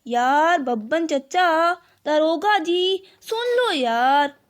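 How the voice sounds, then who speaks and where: A woman's voice: sits high, animated, extremely slow, voice pushed up, heavily acted. A woman voicing an eager, insistent little village boy in a children's cartoon. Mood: pleading.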